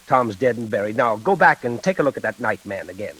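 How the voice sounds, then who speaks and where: Husky-voiced, patient prison warden. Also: male voice, on the low side, animated, fast talker, a bit bright, rough recording. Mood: reassuring, brisk. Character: patient, reassuring, authoritative male prison warden. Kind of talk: old-time radio drama acting.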